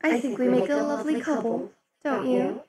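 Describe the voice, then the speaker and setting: A woman voicing a possessive, imperious demon queen in an ASMR roleplay, speaking slowly and sinking into soft whispers. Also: sits high, fairly even intonation, natural voice. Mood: silky, possessive. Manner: slow, velvety, dips into whispers. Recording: loud party crowd under the voice. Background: crowd chatter of a party.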